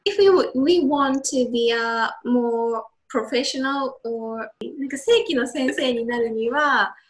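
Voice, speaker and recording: A woman's voice: fairly high pitch, moderate pace, poor audio. A woman from Japan, a native Japanese speaker talking in English with a Japanese accent, hesitant and searching for words in a casual chat.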